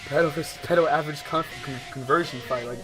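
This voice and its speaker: A man's voice: fairly high pitch, fast talker. Slangy, exclamatory man streaming over music. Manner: repeats phrases insistently. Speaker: livestreamer reacting to a game. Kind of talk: casual livestream commentary mixed with reading game text aloud. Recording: music behind the voice. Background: background music, clearly heard.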